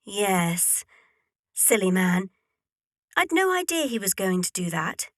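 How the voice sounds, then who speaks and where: A woman's voice: medium pitch, sing-song, slow, a bit bright, dry recording, studio quality. A woman playing a self-possessed, teasing young heiress in a period audio drama. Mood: airy, teasing.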